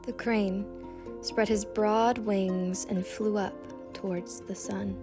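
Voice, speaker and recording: A woman's voice: medium pitch, level tone, slow, over music. A woman reading a fable in a storybook narration style.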